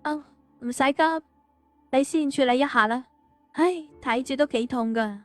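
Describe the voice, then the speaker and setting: High-voiced polite young woman, acted by a woman. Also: normal speed, no echo, lightly acted. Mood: gentle, unsure. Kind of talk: audiobook character dialogue. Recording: music behind the voice.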